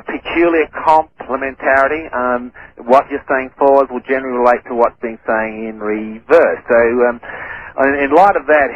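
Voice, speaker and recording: A man who stutters, explaining his research as a radio interview guest in halting speech full of restarts. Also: medium pitch. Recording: muffled radio broadcast.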